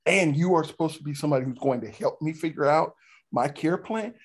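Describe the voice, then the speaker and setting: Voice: mid-range voice, a little fast, husky. A workshop participant on a video call speaking emphatically and repeating phrases for effect. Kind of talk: animated personal comment.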